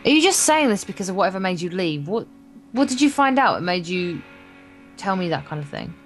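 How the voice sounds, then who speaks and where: A woman's voice: on the low side, very animated, brisk, faint music behind. A woman voicing an earnest, lovestruck, deluded teenage schoolgirl in a visual novel. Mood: confused, insistent.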